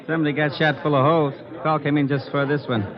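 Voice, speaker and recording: A man's voice: a little high, level tone, fast talker, natural voice. A man playing a jaded, dry, laconic emergency worker in a 1940s radio drama. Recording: muffled old broadcast, crowd behind.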